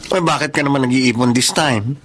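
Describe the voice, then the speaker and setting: An actress playing a chatty, teasing but supportive best friend in a radio drama, slangy and exclamatory. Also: female voice, very deep voice, a little fast. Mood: teasing, reproachful. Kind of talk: Tagalog radio drama acting.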